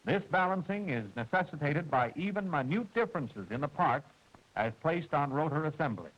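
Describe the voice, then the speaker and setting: A man narrating a 1940s military training film, with a precise, measured and formal delivery, heard through an old film soundtrack. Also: fairly high pitch, expressive, a bit bright, dull audio. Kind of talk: scripted instructional narration.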